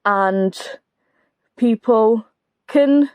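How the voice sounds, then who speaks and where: A woman's voice: on the high side, drawn-out words, darkish tone, smooth, no room sound. A woman giving casual, rambling, self-deprecating commentary over a game playthrough. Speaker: gaming video creator.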